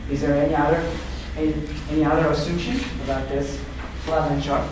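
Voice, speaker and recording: A man with a Korean accent teaching an English class, halting with fillers and restarts. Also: fairly high pitch, flat delivery. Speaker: student teacher. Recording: echoey classroom from far off, noisy.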